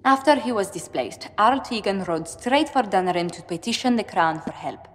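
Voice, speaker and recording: An actress playing a pragmatic, composed diplomatic adviser in a video game, precise and formal. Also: female voice, medium pitch, lively intonation, moderate pace, lightly acted. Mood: concerned. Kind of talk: video game cutscene acting. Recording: long hall reverb.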